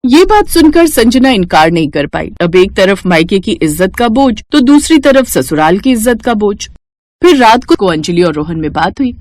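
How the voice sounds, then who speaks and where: A woman's voice: mid-range voice, normal speed, no room sound. Woman narrating a cartoon story. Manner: steady, expressive storytelling. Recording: dry close recording with faint music.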